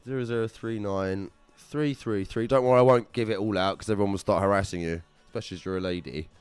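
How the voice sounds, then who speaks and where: A man's voice: fairly low pitch, fairly even intonation, fast, clean tone, dry recording, faint music behind. A man hosting a live prize draw in upbeat, chatty, slangy casual talk. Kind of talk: casual live-stream chat.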